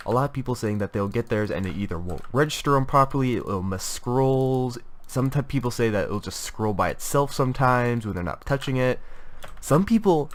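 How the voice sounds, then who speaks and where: A young man with a stuffy voice from a cold, reviewing gaming gear in a casual, rambling way with many fillers and restarts. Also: on the low side. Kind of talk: casual product review talk.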